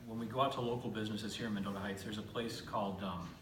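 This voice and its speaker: A man's voice: fairly low pitch, steady tone, fast. A man serving as a state legislator, answering a constituent at a town hall in an agreeable, conversational way with many fillers. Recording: distant mic in an echoey room with noise.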